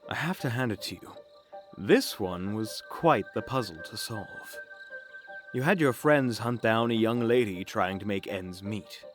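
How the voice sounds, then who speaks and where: A man playing a shrewd, stern detective in a fantasy audio drama, accusatory and dryly sarcastic. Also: lowish voice. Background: music.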